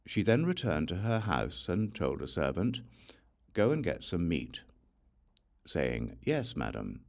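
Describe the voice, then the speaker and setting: A man reading a religious text as scripted audiobook narration in a measured, deliberate way. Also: deep voice, creaky, darkish tone. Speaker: audiobook reader.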